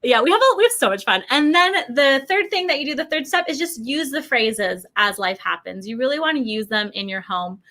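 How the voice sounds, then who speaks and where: An American woman in her thirties giving a casual, chatty product pitch in an interview, acting out little quotes as she goes. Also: a little fast, few pauses. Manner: animated, uses mimicked quotes and frequent "like". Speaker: company representative presenting a product.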